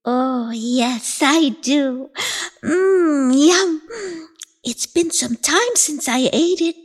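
A woman's voice: lively intonation, drawn-out words, full character voice. A timid little match girl. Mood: delighted. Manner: high childlike voice, soft and pleading.

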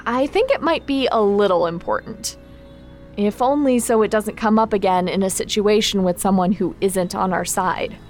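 An actress playing a caring but exacting magic trainer in a naturalistic audio drama, frank and instructive in manner. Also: female voice, medium pitch, moderate pace, faint music behind, lightly acted. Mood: frank, concerned. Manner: frank, instructive, gently concerned.

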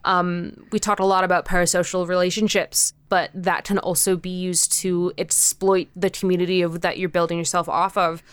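A woman's voice: lowish voice, flat delivery, normal speed, hardly any pauses, clear, resonant tone, dry recording. A woman speaking as a podcast guest in a casual interview chat, candid and informal, swearing freely. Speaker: podcast guest, audio drama creator.

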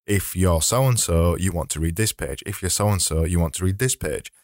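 A man hosting a casual solo podcast, his voice slightly hoarse and fading, rambling and correcting himself as he talks. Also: sits low, animated, normal speed, darkish tone, dry recording, studio quality. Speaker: podcast host.

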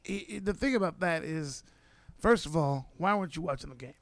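A man telling loud, swearing road stories in a casual studio chat. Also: on the high side, animated, fairly quick, a crowd in the background. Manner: swears a lot, tells stories with loud laughs. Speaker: comedian, host. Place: podcast studio.

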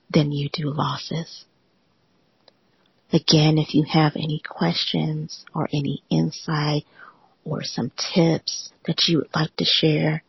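A host of a live audio room giving a gentle, reassuring motivational talk in a soothing, often whispery voice. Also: mid-range voice, gravelly, husky, no echo, muffled recording.

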